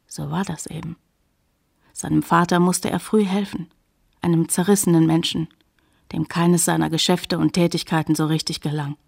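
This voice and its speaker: An actress playing a reflective woman who narrates childhood memories in a radio play, in a measured storytelling manner. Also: low-pitched, vocal fry, darkish tone, breathy edge, exaggerated voice. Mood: earnest.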